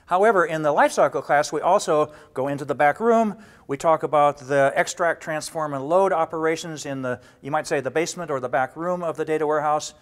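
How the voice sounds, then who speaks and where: Older man explaining a training course. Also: a little high. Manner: measured and explanatory, gesturing with both hands, frequent restarts. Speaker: data warehouse instructor. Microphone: lapel mic.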